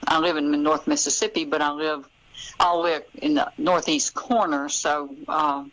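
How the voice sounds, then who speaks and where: A woman with a deep-set voice and a Southern American accent. She talks casually, like a novelist being interviewed. Her answers come haltingly, in short thought-out-loud phrases. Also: fairly even intonation, rough recording. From Memphis, southern United States.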